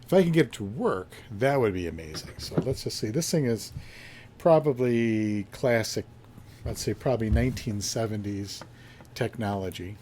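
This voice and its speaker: A man's voice: on the low side, expressive, moderate pace, darkish tone. A man giving a casual hands-on demonstration, musing and thinking aloud as he goes. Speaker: reseller reviewing a vintage find. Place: indoors, in a basement. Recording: faint background noise.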